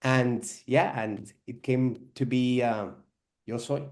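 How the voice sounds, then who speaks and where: A man's voice: medium pitch, fairly even intonation, takes it slowly, darkish tone. A young man born in Spain, a filmmaker, talking in a rambling way full of "you know" and "like" in an online interview. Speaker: filmmaker guest.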